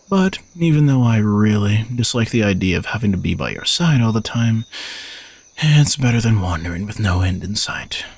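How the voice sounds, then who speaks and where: A man acts out an arrogant knight stuck guarding a royal, in a low, gravelly voice recorded close and dry. He is sardonic and condescending, needling the listener with exasperated, teasing remarks in an intimate romantic audio roleplay. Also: no room sound, full character voice. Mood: grudging, dry. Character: arrogant sardonic male knight turned reluctant royal bodyguard.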